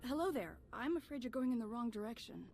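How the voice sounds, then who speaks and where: A woman's voice: a little high, very animated, quick pace, darkish tone, soft background music. A woman playing an earnest, worried colony helper in a video game, speaking politely. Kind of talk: video game cutscene acting.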